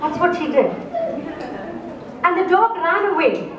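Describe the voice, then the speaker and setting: High-voiced storyteller woman. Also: muffled recording, a crowd in the background. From India. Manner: slow, expressive, asks the audience questions. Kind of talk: live storytelling to an audience. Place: festival opening ceremony stage. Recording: echoey hall, distant mic, background noise.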